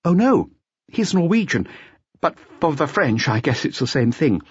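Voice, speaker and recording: Man as a high-pitched, guarded young wife. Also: big pitch swings, normal speed, husky, no echo, muffled recording. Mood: guarded explaining. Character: polite young wife, guarded and serious, in a detective audiobook.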